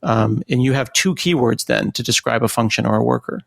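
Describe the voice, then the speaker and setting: A man's voice: medium pitch, a little fast, creaky, dry recording. An American man hosting a casual interview, asking and reflecting in an inquisitive, conversational way. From United States. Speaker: podcast host, interviewer.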